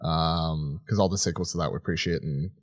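A man's voice: very deep voice, steady tone, a little fast, no room sound, studio quality. A man co-hosting a podcast, giving casual, unscripted film opinions in a rambling, conversational way with some swearing. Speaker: podcast co-host reviewing films and series.

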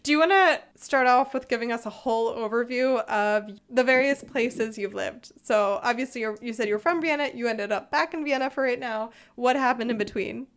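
A woman's voice: fairly high pitch, normal speed, hardly any pauses. A woman in her twenties hosting a podcast, chatty and informal, with a self-deprecating way of talking. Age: 20s. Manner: informal, self-deprecating, given to giggling asides. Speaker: podcast host. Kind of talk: chatty podcast intro and interviewing.

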